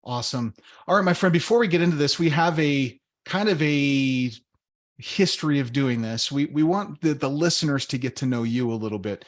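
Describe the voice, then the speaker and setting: A man's voice: mid-range voice, expressive, normal speed. Guy hosting a sales podcast, mid voice, chill and friendly, restarts a lot. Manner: friendly, laid-back, with repeats and restarts. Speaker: podcast host.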